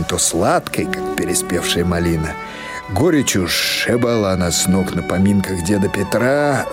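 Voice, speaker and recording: A man's voice: low voice, breathy edge, no room sound. A man reading literary prose as a scripted audiobook narration.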